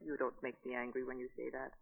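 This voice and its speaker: An actress playing a frightened, contrite wife in a 1940s radio drama, earnest, emotional and halting. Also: female voice, extremely low pitch, monotone, creaky, exaggerated voice. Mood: contrite, soft. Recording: dull, reverberant 1940s radio broadcast.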